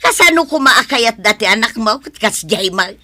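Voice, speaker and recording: Snooty nosy neighbor lady, radio play, high sharp voice, disbelieving and mocking. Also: lively intonation, moderate pace, no echo. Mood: scornful. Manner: mocking, incredulous. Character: scornful, meddling neighbour woman, skeptical. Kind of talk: radio drama acting.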